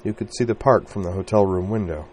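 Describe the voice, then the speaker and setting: A man reading aloud, voicing a young woman who speaks in a soft, wavering, hushed voice. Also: sits low, fast talker, a little hiss or hum, close to an everyday voice. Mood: hushed, wistful.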